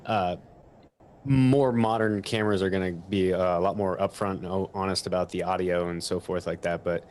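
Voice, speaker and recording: A man with a deep voice speaks as an expert on a panel. He explains in a measured, practical manner and gives plain advice. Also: fairly even intonation, darkish tone, slight background noise. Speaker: audio company expert on a panel.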